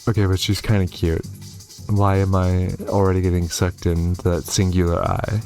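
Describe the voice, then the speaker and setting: A man hosting a horror game playthrough, reading and commenting in an expressive, theatrical way. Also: low-pitched, normal speed, faint music behind. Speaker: gaming video host.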